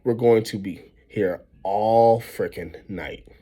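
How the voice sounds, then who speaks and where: A man's voice: lowish voice, slow pace, dark tone. A man voicing a casual, wry fifth-grade boy in a storybook, matter-of-fact and slangy. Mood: matter-of-fact, grumbling.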